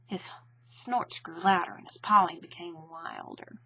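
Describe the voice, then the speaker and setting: Woman, storybook narration, lively intonation, husky, expressive, muffled recording. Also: fairly low pitch, a little slow. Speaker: children's story reader.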